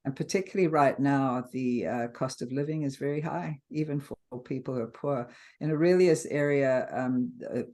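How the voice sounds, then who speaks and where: Older South African woman, halting and earnest. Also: very deep voice, darkish tone. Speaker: interview guest. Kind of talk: casual interview talk.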